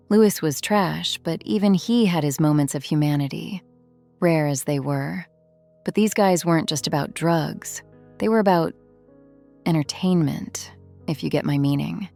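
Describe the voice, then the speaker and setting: A woman playing a haunted, protective former street criminal in a drama, speaking in an earnest, halting, confessional way. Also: on the low side, vocal fry, warm tone. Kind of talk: dramatic audio drama acting.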